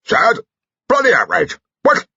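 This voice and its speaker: A man voicing an irascible old army general who barks short, shouted phrases. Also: sits low, sing-song, moderate pace, husky, no echo, heavily acted. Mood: blustering. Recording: muffled lo-fi recording.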